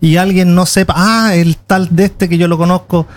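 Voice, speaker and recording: A man with a Chilean accent, answering interview questions in a relaxed way, often pausing and restarting. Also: high voice. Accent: Chilean Spanish. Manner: thoughtful, halting with many restarts. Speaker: guest, tour guide. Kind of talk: casual interview conversation.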